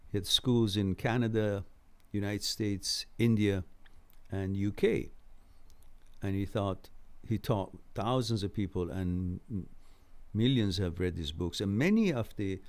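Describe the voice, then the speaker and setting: A middle-aged man whose first language is Farsi, hosting an informal live question-and-answer lecture on mental health, explaining in a halting way with pauses. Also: fairly low pitch.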